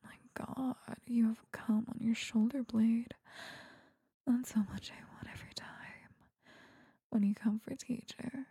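A woman in a bossy, domineering teacher role whispers with a deeply breathy, husky texture. Her delivery is slow and drawn out, with a dark, mellow tone. The recording is close and completely dry. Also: fairly even intonation, no room sound. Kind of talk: whispered audio roleplay.

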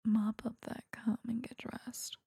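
A woman whispering a commanding audio roleplay as a domineering female teacher, in a breathy voice. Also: a little high, flat delivery, a little slow, dark tone.

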